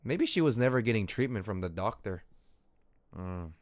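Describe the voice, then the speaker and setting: Lively-pitched man streaming a game. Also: normal speed, no echo. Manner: casual, slangy, mild swearing. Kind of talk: game livestream commentary, reading in-game text aloud.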